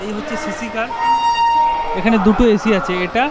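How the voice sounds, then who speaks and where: A man's voice: high-pitched, steady tone, moderate pace, over loud music. A man with an Indian Bengali accent talks casually to viewers as a travel vlogger, explaining things and often checking that they follow. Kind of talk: casual vlog narration to viewers. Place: busy railway station and train carriage. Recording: loud station crowd and train noise. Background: crowd chatter and railway noise.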